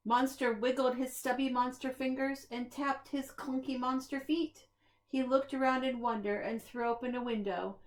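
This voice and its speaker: A woman reading a children's picture book aloud in an expressive, deliberate storytelling manner, as if to young listeners. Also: high voice, poor audio. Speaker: preschool staff reader.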